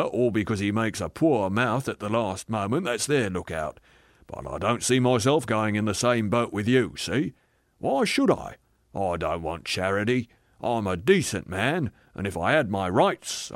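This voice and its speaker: A man's voice: fairly low pitch, dry recording, studio quality, lightly acted. A man voicing a sulky, self-righteous working-class ghost in a dramatised audiobook, argumentative and drawn out in his emphasis. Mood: sulky, bitter.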